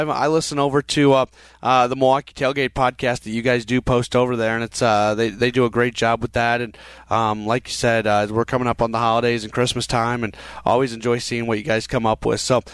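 A hoarse man with a cold hosting a sports podcast, talking casually and stopping often with fillers into a headset mic. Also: mid-range voice, dry recording. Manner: halting, many uh fillers. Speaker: podcast host.

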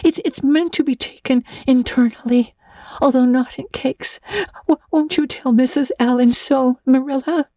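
A woman's voice: high voice, fairly even intonation, normal speed, dark tone, no echo, studio quality, voice pushed up, lightly acted. A woman voicing a melodramatic, anguished young schoolgirl in a storybook, stammering and sobbing her words. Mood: tearful despair.

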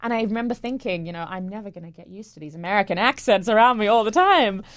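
Young woman, English-Australian accent, chatty guest, lots of ums, middle pitch, dry close sound. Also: lively intonation, fast, dry recording. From England, raised in Australia. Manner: chatty, laughs often, many ums. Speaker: stage actress, guest. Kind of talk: casual interview.

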